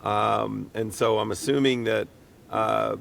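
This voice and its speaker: A man with a rough, hoarse voice, sick, co-hosting a casual interview with joking, friendly banter. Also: lowish voice, very animated, slow pace, fairly bright tone, slight background noise. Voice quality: rough, raspy. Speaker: podcast co-host interviewing guests. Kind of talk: casual chat.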